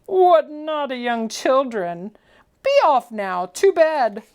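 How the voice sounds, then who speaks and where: Storybook Santa, acted by a woman: old, jolly, fondly bossy, hearty calls pitched up from plain narration. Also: big pitch swings, slow, darkish tone, full character voice. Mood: mock scolding. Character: jolly old male Santa, gently scolding and fond.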